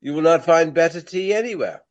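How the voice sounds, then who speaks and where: An actor playing an aging, pompous Regency gentleman of the stage in a radio play, nostalgic and theatrical, with florid phrasing and dramatic pauses. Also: a little high, lively intonation, muffled recording, close to an everyday voice. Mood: fond nostalgia.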